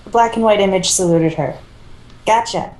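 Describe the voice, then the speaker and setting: A woman with a mellow, mid-pitched voice reads a fan-written story aloud. She handles both narration and dialogue. The amateur recording is noticeably roomy and echoey. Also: normal speed. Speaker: fan fiction reader.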